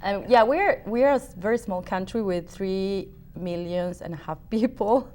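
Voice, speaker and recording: A woman's voice: medium pitch, normal speed, darkish tone. A woman with a Uruguayan Spanish accent, a native Spanish speaker, answering interview questions with many restarts and fillers. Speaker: opera singer, guest.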